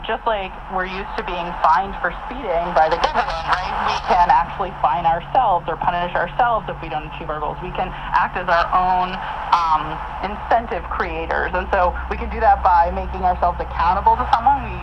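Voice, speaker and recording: Female academic interview guest, lowish voice, articulate and explanatory, heard through heavy radio noise. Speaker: researcher and teacher, interview guest. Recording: noisy, muffled radio reception, re-recorded from speaker.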